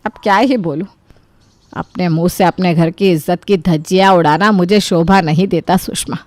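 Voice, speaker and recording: A woman playing a middle-aged, self-pitying, sarcastic mother-in-law in an animated story, scolding and complaining dramatically. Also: fairly low pitch, normal speed, no room sound, close to an everyday voice. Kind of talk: animated story character dialogue.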